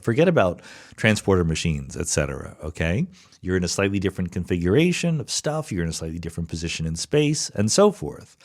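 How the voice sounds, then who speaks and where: A man's voice: fairly low pitch, a little mellow. A man hosting a podcast, patiently explaining physics ideas and often checking in with "right?" and "okay?". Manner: thinks aloud with frequent "right?" and "okay?" check-ins. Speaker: podcast host answering listener questions. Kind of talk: explanatory solo podcast talk.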